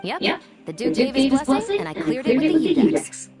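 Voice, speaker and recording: A woman playing a confident, brisk and friendly young official in a video game. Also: sits high, very animated, distant mic, music in the background. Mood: wry, businesslike.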